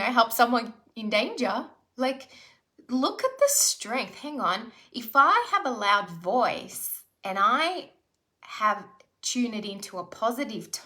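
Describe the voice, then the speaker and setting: A woman's voice: fairly high pitch, bright, forceful tone. A middle-aged woman leading a live online self-development workshop, talking conversationally and checking in with rhetorical questions. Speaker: spiritual life coach.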